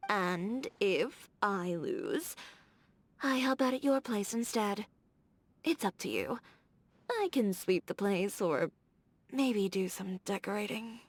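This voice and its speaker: A woman voicing a confident, blunt young fashion designer in a video game, direct and playfully teasing. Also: mid-range voice. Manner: direct, self-assured, teasing. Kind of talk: scripted video game character dialogue. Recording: background music.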